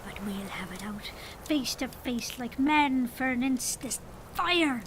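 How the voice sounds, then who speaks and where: High-pitched fiery leprechaun king. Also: lively intonation, takes it slowly, background noise. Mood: defiant. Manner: indignant, old-fashioned Irish storybook phrasing. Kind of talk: audio drama character acting.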